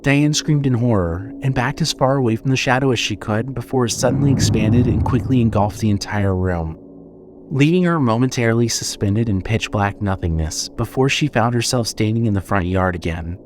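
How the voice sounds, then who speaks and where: A man narrating a scripted horror story as storyteller, measured and suspenseful. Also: medium pitch, background noise.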